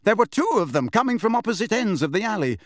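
Man as a teenage boy, audiobook character, defiant, earnest, loud, raised pitch. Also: sing-song, quick pace, no room sound, studio quality. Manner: loud, insistent.

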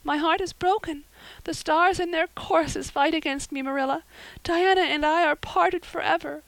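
A woman reading an audiobook, voicing a dramatic, tearful orphan girl who speaks in high-flown, breathless wails. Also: lively intonation, normal speed, dry recording, low-quality recording. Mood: pleading, woeful. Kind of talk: audiobook character voice.

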